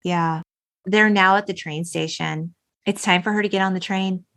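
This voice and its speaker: A woman hosting a film podcast, chatting in an emphatic, expressive way and acting out or reading quotes as she goes. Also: medium pitch, moderate pace. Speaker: podcast host. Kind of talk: animated film chat, retelling and reading out quotes.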